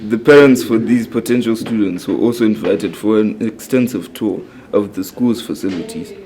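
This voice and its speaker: Low-voiced man reporting school news. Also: normal speed, warm tone. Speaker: newsreel narrator at a school. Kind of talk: scripted newsreel narration.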